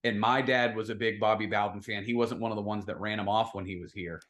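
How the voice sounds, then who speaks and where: A man's voice: lowish voice, steady tone, fast talker, fairly bright tone. A man speaking informally and emphatically as a guest in a casual sports chat, telling stories with plenty of slang. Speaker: guest, football video production staffer.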